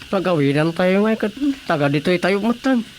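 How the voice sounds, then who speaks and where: A man with a Filipino accent playing an excitable, argumentative companion in a radio comedy drama. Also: sits high, normal speed, a little hiss or hum. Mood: arguing.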